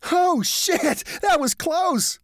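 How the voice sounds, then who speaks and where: A man voicing himself as a reckless, cocky male thrill-seeker who talks brashly and excitably. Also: sits high, normal speed, dry recording, clean studio sound, voice pushed up. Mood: giddy.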